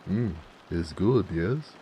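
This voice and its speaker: Deep, slow-talking gentle orc blacksmith, acted by a man. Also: lively intonation, slight background noise, poor audio. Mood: cozy, inviting. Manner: unhurried, tender, murmuring, musing. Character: gentle, affectionate male orc blacksmith, romantic and soft-spoken. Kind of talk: intimate romantic audio roleplay.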